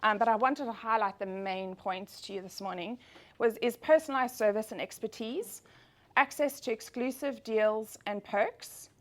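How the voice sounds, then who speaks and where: South African woman giving a business presentation. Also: mid-range voice. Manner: upbeat, speaking with frequent ums. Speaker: travel agent presenting at a networking meeting.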